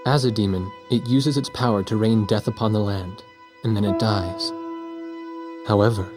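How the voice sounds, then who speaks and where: A man's voice: lowish voice, steady tone, normal speed, natural voice. A man playing an earnest young war journalist in a game, narrating reflectively and asking sincere questions. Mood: reflective, somber. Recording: music behind the voice.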